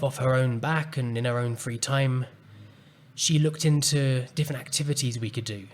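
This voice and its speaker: Calm, earnest man telling a personal story. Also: mid-range voice. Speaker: podcast host and coach. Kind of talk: reflective solo podcast talk.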